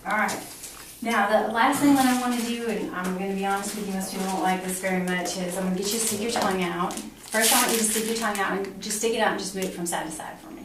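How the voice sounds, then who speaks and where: A woman's voice: mid-range voice, fairly even intonation, quick pace, few pauses. Middle-aged female clinician, instructing step by step, distant mic in a reverberant, noisy room. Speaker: clinical examiner demonstrating a physical exam. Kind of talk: instructional exam talk, explaining each step to a patient. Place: small cinder-block exam room, indoors. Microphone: camera mic from across the room.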